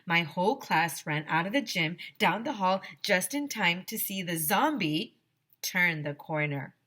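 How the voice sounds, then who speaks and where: A woman teacher reading a children's story aloud expressively, with dramatic pauses and direct asides to young listeners. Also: fairly low pitch, animated, pushed and bright.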